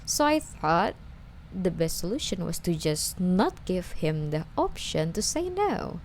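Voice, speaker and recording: A woman's voice: lowish voice, big pitch swings, unhurried, a little mellow, a little hiss or hum. A woman playing a lovesick, obsessive young stalker under interrogation in an ASMR roleplay, with a coy, wheedling, hesitant delivery. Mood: flustered, indignant.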